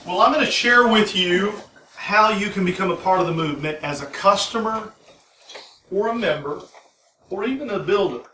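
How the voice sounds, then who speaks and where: A man in his forties presenting at a home meeting, energetic and emphatic as he introduces the next speaker. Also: sits high, normal speed, a little hiss or hum. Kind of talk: enthusiastic presentation. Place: living room at a home meeting. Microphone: far camera. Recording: echoey room from far off.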